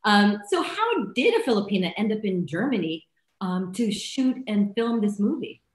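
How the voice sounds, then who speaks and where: A woman's voice: medium pitch, big pitch swings, moderate pace. A middle-aged woman moderating a casual panel interview over a video call, with a roomy sound. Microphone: computer mic on video call.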